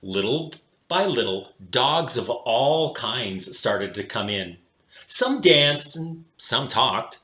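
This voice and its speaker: Animated man reading a storybook, echoey room. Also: medium pitch, takes it slowly. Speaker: children's read-aloud host. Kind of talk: expressive storybook narration.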